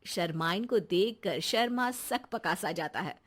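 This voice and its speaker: Female narrator for a cartoon tale, lilting and unhurried. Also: on the low side, animated, fairly bright tone, slightly rough. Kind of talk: scripted cartoon story narration.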